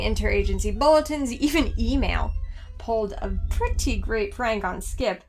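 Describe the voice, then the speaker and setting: A woman's voice: fairly high pitch, fairly bright tone. An actress playing a cocky, sarcastic woman hacker in an audio drama, dry and mocking. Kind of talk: scripted audio drama acting.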